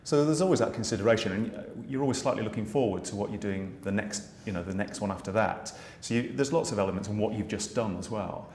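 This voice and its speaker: A middle-aged British man who writes, directs and plays the dame in pantomime, talking in a relaxed interview with many restarts and fillers. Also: lowish voice, fast talker, few pauses. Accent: British English. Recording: echoey room with faint noise.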